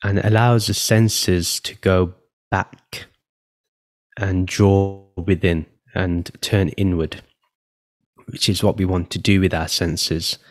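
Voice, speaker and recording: Low-voiced man guiding a meditation. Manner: gentle, unhurried, pausing between phrases. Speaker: meditation guide and podcast host. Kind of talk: guided meditation, spoken instruction.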